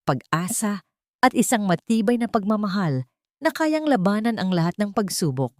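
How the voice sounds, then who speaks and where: A woman's voice: mid-range voice, a little mellow, no room sound, studio quality. A woman reading scripted dramatic story narration in an earnest, sentimental manner. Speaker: narrator and host of a storytelling channel.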